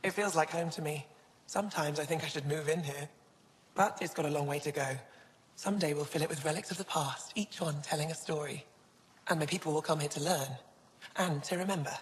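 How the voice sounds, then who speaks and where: Woman playing low-voiced young alien curator, reverberant. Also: a little fast, natural voice. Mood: wistful, hopeful. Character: young alien female museum curator, earnest, scholarly, wistful. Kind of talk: video game dialogue. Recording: long hall reverb.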